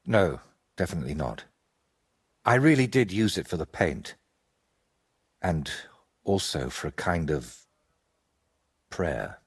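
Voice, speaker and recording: An actor playing a troubled, guilt-ridden older medieval painter in a video game, husky and earnest, as if confessing. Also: male voice, low-pitched, sing-song, slow, long pauses, exaggerated voice. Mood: troubled, confessional. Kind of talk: video game dialogue acting.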